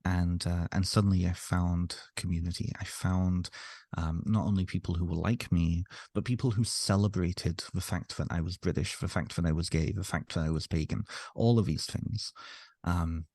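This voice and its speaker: A man in his thirties talks through his past with a very low, gravelly voice, pausing often on ums and restarts. He sounds thoughtful and unhurried in a casual interview. The sound is dry and close. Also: fairly even intonation, a little mellow, no echo. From North Wales, raised in Ontario, Canada. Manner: reflective, many fillers and restarts. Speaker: podcast guest, author.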